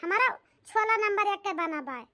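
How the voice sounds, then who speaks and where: A squeaky, very high and piercingly bright cartoon daughter-in-law with an Awadhi accent. She sounds almost like a child, and she brags and scolds in quarrelsome village banter. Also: expressive, normal speed, heavily acted. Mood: argumentative. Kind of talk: comedy cartoon dialogue.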